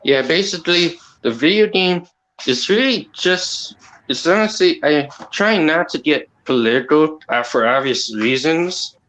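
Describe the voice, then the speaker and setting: A man with an accent, talking quietly and haltingly in a casual call discussion as the host, rambling and restarting his sentences. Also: on the high side, expressive, low-quality recording. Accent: non-native or regional accent. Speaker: channel host.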